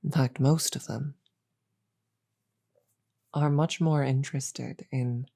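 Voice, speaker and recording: A woman playing a regal, measured demon queen in an audio roleplay, grave and wryly amused, pausing deliberately and often slipping toward a whisper. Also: a bass voice, fairly even intonation, creaky, warm tone, exaggerated voice. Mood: decisive, regal. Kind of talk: scripted audio roleplay, slow dramatic delivery.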